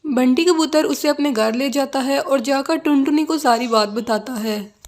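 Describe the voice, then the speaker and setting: A woman with a high-pitched voice narrates a children's animated tale. Her delivery is scripted storytelling in the third person. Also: moderate pace.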